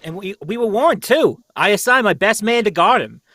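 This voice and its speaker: A man's voice: sits high, lively intonation, normal speed, bright, forceful tone, no echo, clean studio sound, heavily acted. A man playing an agitated, blustering police inspector who stammers and exclaims in an old-time radio mystery.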